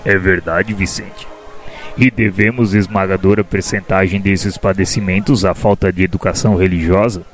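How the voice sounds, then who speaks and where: A man playing a wise, gentle spirit mentor, speaking in a measured, didactic way in a religious radio drama. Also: low voice, fairly even intonation, faint music behind, lightly acted. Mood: gentle admonishing.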